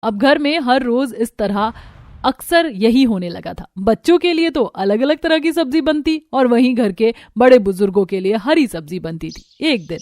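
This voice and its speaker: A woman's voice: a little high, animated, normal speed, darkish tone, no echo, studio quality. A woman reading scripted narration for a family cartoon story.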